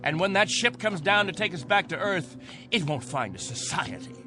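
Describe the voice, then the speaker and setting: An actor playing a stern, fervent captain of a space colony in a radio drama, with an oratorical, authoritative delivery. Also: male voice, high voice, lively intonation, moderate pace, music in the background. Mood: scornful oratory.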